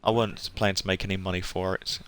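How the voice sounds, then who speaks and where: A man's voice: low-pitched, flat delivery, fast talker. A British man chatting casually to viewers on a live stream, rambling and hesitant, often trailing off. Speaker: hobby game streamer. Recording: music playing behind the voice. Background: music.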